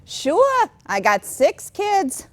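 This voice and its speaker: Woman playing high-voiced, earnest naive housewife. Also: wildly swooping pitch, drawn-out words, full character voice. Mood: wistful. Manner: simple, plain-spoken, earnest. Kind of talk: staged play reading. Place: children's theatre stage, filmed staged reading.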